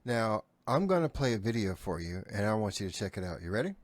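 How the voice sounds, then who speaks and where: A man chatting casually as a podcast co-host, a bit halting as he thinks out loud. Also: on the low side, very animated, dry recording. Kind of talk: casual chat.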